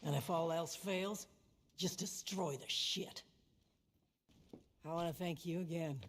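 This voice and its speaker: A woman's voice: fairly low pitch, unhurried, a little hiss or hum. A woman playing a commanding, vengeful older criminal matriarch with a salty tongue in a dramatic game cutscene. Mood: calm, commanding.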